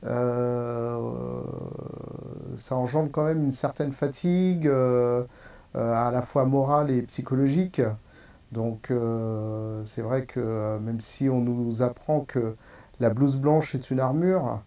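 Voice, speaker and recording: A hospital nurse answering interview questions, hesitant and full of pauses. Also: male voice, medium pitch, fairly even intonation, mellow. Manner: hesitant, many fillers.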